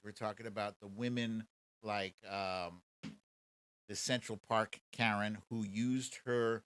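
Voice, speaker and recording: A man's voice: on the low side, a bit bright, smooth, dry recording. An American man hosting a livestream, chatting casually and mockingly as he comments and answers viewer questions in colloquial speech. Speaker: host of a live commentary show.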